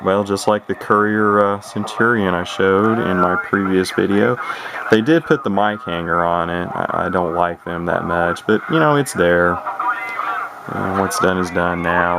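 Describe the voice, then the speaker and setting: A man giving a rambling, casual showcase as a radio collector, halting and repeating his words as he goes. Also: low voice. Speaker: radio collector presenting his own equipment. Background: radio speaker playing static.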